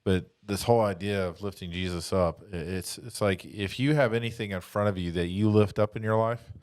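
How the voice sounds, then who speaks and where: A man with a deep voice, recorded dry and close, reflects on faith as a podcast panelist. He is conversational and encouraging, repeating phrases to drive a thought home. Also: fairly even intonation, brisk, darkish tone, dry recording. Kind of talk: casual religious discussion.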